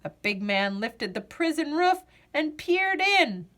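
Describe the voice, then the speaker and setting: Female picture-book narrator, expressive and deliberate, lively swooping pitch on the high side. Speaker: storyteller. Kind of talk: children's picture book read-aloud.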